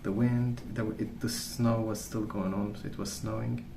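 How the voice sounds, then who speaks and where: A man speaking softly in a calm, gentle, unhurried way, rambling in a casual ASMR storytelling style. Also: on the low side. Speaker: ASMR video creator. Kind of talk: soft-spoken ASMR rambling. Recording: roomy sound with clear background noise.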